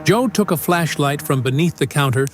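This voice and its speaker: A man's voice: on the high side, animated, moderate pace. A man delivering dramatic scripted narration as the narrator of an audio drama. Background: music.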